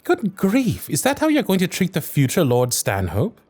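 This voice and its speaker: A man performs a young Victorian gentleman earl for an audio roleplay, proper and principled. His formal, ornate phrasing swings widely in pitch at a medium register. The voice is recorded very dry, with soft music underneath. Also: wildly swooping pitch, moderate pace, no echo, exaggerated voice. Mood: exasperated, indignant. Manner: ornate, formal period diction, exasperated and courteous. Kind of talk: scripted ASMR roleplay, one-sided dialogue.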